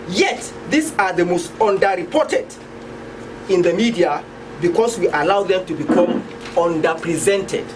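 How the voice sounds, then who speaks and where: Nigerian lady reading out an intro speech, mid pitched voice, kinda distant mic, noisy room. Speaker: project presenter.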